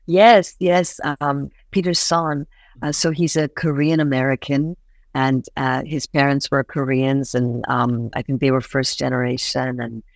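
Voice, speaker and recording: A woman's voice: extremely low pitch, steady tone, a little slow, dry recording. A woman born in Iran, an actress being interviewed, talking earnestly with many fillers and restarts. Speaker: voice actress guest. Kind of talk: interview answers.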